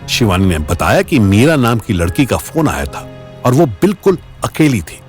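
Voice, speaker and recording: A man's voice: medium pitch, big pitch swings, normal speed, darkish tone, faint music behind. A man narrating a scripted audio story in a dramatic style.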